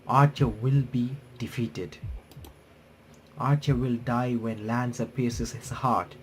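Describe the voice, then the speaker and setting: A man reading game text aloud and adding casual, halting commentary as a playthrough streamer. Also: mid-range voice, steady tone, slight background noise, low-quality recording. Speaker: YouTuber playing and reacting to a visual novel.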